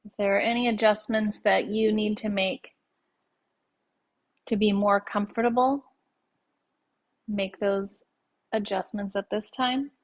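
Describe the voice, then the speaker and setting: A woman leading a guided yoga nidra meditation in a gentle, soothing, measured way, leaving long pauses between short phrases. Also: mid-range voice, fairly even intonation.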